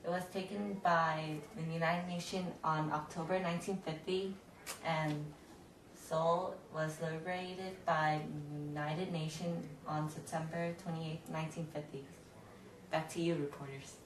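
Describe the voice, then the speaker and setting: Young female student reporter, low voice, hesitant and casual, recorded at a distance with faint noise. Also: fairly even intonation, measured pace, reverberant. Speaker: student playing map reporter. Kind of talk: amateur newscast presentation.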